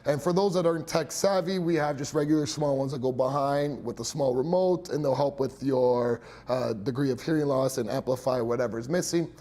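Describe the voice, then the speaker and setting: A man's voice: mid-range voice, a little fast, hardly any pauses, warm tone. A young man who works as a hearing care professional, explaining his clinic in a chatty way with many fillers and little laughs. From Italian heritage. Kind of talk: interview answers, explanatory.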